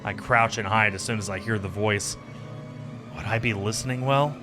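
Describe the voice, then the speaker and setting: A man reading a visual novel game aloud as a streamer, with expressive narration and casual asides. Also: lowish voice, normal speed, over music.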